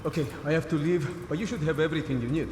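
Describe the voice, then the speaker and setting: An actor playing a stern, officious Soviet intelligence officer in a video game. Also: male voice, fairly high pitch, fairly even intonation, lightly acted. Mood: brisk instruction. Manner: stern, brisk. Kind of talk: video game cutscene acting. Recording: echoey hall with background noise.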